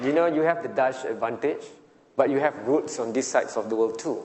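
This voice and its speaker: A man's voice: mid-range voice, brisk, a little mellow. Guy moderating a panel, chatty questions, echoey hall, bit of background noise. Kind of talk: conversational questioning.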